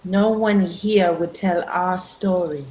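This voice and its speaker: Slow, guarded young woman in a roomy recording, acted. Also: fairly low pitch, steady tone, mellow, slight background noise. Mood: defensive, discouraged. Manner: defensive, doubting. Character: reluctant, guarded young village woman far from home. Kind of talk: radio play acting.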